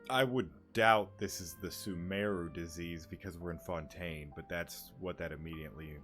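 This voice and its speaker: A man's voice: sits low, moderate pace, a bit bright, soft background music. A young man giving casual, rambling commentary while playing a game. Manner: rambling, filler-heavy. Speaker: streamer.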